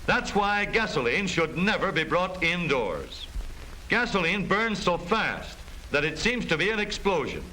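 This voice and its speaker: 1930s american newsreel-type guy explaining stuff, forceful bright voice, very lively pitch, old slightly noisy film sound. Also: a little slow. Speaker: narrator of an educational film. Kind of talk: 1930s educational film scripted narration.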